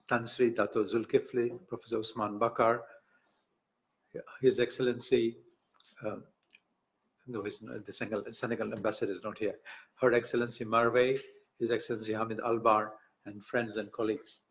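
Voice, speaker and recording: A man from Tanzania giving a conference speech, halting and self-correcting, with light humor. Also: fairly low pitch, level tone, rough recording. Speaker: speaker at an academic book event.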